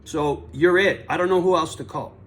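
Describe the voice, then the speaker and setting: A man's voice: a little high, normal speed, a bit bright, slight background noise. A man talking casually on a phone call and to viewers, in a muttering, sweary way with sighs. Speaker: caller recording his own phone call.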